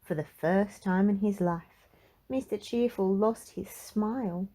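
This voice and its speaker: Perempuan pembaca cerita anak, tempo pelan. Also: female voice, mid-range voice. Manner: expressive, measured read-aloud for children. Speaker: children's book reader. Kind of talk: scripted storybook narration.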